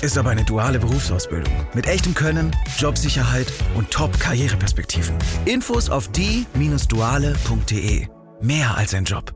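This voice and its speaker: A male announcer with a mid-pitched, animated voice reads a scripted public information spot. Loud music runs underneath. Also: moderate pace. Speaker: advert voice-over.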